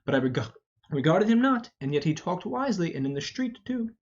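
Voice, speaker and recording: A man's voice: high voice, very animated, fairly quick. A man voicing a blustering, roguish old knight in an amateur Shakespeare reading, full of mock-indignant rhetoric. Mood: mock-repentant. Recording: dull, lo-fi.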